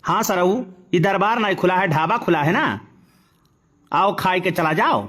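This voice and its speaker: Man voicing a high-pitched sarcastic village patriarch. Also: normal speed, fairly bright tone. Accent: Awadhi. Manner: sarcastic, grumbling. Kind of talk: comic cartoon dialogue.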